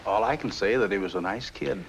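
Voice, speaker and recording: An actor playing a frank, streetwise American ex-convict entertainer with a loyal streak, in a 1950s crime film. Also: male voice, fairly low pitch, fairly quick, creaky, slight background noise, low-quality recording. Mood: frank, reminiscing. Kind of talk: 1950s film noir acting.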